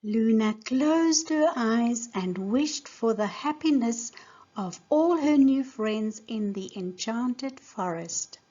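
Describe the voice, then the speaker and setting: A woman teacher giving a scripted lesson and narrating a storybook in a deliberate, simple way for young learners. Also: a little high, expressive, dark tone, highs cut off. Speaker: English teacher reading a children's story.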